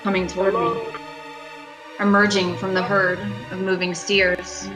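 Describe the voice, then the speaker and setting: A woman's voice: mid-range voice, level tone, a little slow, lightly acted. A woman playing a frightened, desperate lady driver in a radio thriller, urgent and dramatic. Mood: haunted dread. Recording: music behind the voice.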